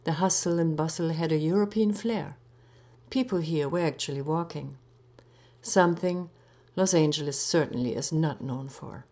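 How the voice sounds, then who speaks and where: A woman reading her own short story aloud in a measured, expressive storytelling manner with deliberate pauses. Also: low voice. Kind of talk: literary short story narration.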